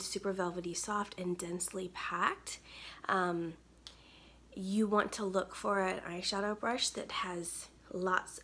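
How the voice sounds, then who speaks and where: A woman in her thirties with a soft, weak, breathy voice, chatting casually through a makeup tutorial at home to a close webcam. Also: on the low side, a little hiss or hum. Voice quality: gravelly, breathy. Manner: soft-spoken, chatty, many ums. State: weak, tired voice. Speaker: makeup brand owner. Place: home studio, indoors.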